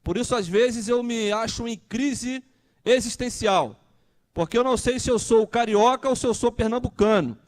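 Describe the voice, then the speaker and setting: A man's voice: extremely high pitch, moderate pace. A man, preaching a conversational sermon and asking the congregation questions. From Brazil. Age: 30s. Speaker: pastor.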